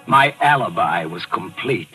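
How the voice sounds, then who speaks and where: An actor playing a sly, smug, composed murderer in a 1940s radio thriller. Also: male voice, low voice, animated, a little slow. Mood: smug, calculating. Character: sly male actor, smug murderer narrating his crime. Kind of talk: 1940s radio thriller acting and first-person narration. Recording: old radio broadcast recording with music.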